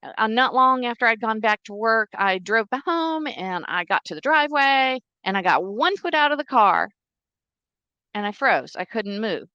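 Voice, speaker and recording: A woman's voice: a little high, normal speed, clean tone, dry recording, dull audio. A middle-aged woman whose first language is Czech, steadily telling her story as a podcast guest. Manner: earnest, steady storytelling with quoted voices. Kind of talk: interview storytelling.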